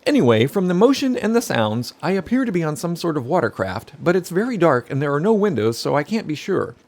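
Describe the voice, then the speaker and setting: An actor playing a pompous, articulate, pedantic narrator in a scripted audio comedy. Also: male voice, a little high, sing-song, a little fast, a little mellow. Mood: puzzled, self-important. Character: fussy, pompous male professional narrator held captive.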